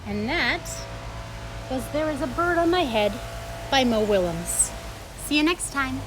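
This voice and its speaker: A woman with a fairly high voice reads a children's picture book aloud. Her pitch moves in a very lively way, and there is some noise in the background. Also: a little slow. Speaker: story hour reader.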